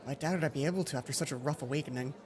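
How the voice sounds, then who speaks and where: A man's voice: mid-range voice, rapid-fire, darkish tone, slight background noise. A man doing a let's play, reading visual novel narration expressively and adding casual commentary. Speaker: let's player. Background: game music.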